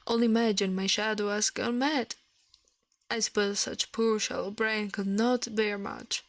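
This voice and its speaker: A woman's voice: mid-range voice, level tone, measured pace, no room sound, natural voice. A woman voicing a smug, scheming male shadow in a storybook reading, imperious and falsely reasonable. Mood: feigned sorrow.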